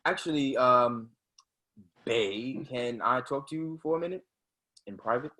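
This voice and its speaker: A man's voice: mid-range voice, a little slow, pushed and bright, poor audio. A man playing an exasperated, defensive college-age son in a play reading. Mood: flustered. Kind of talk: readers theatre play reading.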